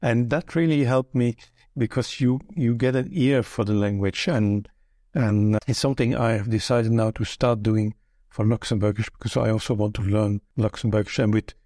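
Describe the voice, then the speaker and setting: A man's voice: lowish voice, steady tone, normal speed, a little mellow, dry recording, clean studio sound. An older man from Belgium whose first language is Dutch, recalling his career thoughtfully as an interview guest with frequent restarts. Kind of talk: relaxed conversational interview.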